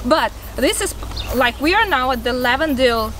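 A young woman chatting excitedly to a handheld camera as a travel vlogger, with lots of "like"s and restarts. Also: high-pitched, normal speed, a little hiss or hum. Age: young adult. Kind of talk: casual travel vlog talk to camera. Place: outdoors on a farm and inside a small historic house.

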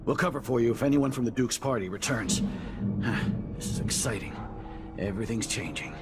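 A man's voice: medium pitch, brisk, loud music behind the voice, close to an everyday voice. An actor playing an earnest soldier, a henchman in a coup, in a video game. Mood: eager. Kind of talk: dramatic game voice acting.

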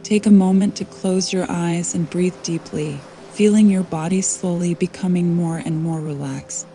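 A woman guiding a sleep meditation in a soothing, unhurried way, leaving long pauses between scripted phrases. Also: on the low side. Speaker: meditation guide. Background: soft calming background music.

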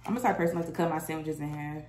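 A woman's voice: low voice, very fast, background noise. A young woman, chatting casually to her vlog viewers at home, filmed on a handheld phone. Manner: chatty, addresses viewers as y'all. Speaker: vlogger. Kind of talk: casual vlog chat to camera. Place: apartment kitchen and living room indoors. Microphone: phone camera held at arm's length.

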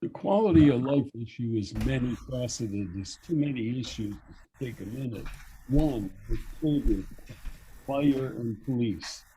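A man's voice: mid-range voice, lively intonation, unhurried, warm tone, a little hiss or hum, rough recording. A male council candidate answering at a public forum in blunt, clipped statements.

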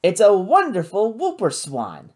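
A narrator voicing a smug, patronising upper-class gentleman scientist in an audiobook, pompous and condescending. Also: mid-range voice, wildly swooping pitch, a little slow, pitched above the performer's own voice.

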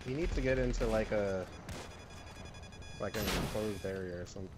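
A man's voice: lowish voice, a little fast. A man talking casually as a player in an online game, over noisy voice chat. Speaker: player in a group gaming session. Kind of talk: casual multiplayer game chatter.

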